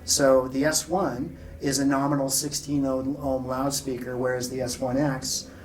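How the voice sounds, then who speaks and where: A man being interviewed at a trade show, explaining technical details in a measured, informal way. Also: mid-range voice, flat delivery, distant mic. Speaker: loudspeaker company founder being interviewed. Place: exhibit room at an audio show. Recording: off-mic, noisy exhibit room.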